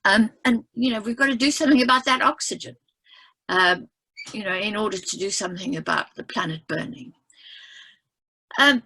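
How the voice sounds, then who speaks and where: Emphatic, gravelly woman from South Africa. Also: a little high, pushed and bright. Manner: emphatic storytelling with frequent "right?" asides. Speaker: economist speaking on a webinar panel. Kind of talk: impassioned explanatory talk.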